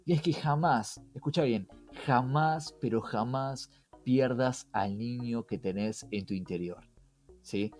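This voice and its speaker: A man's voice: mid-range voice, a little slow, a little mellow. A man with an Argentine accent hosting a podcast in a conversational style, engaging the listener with rhetorical questions. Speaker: podcast host. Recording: music behind the voice.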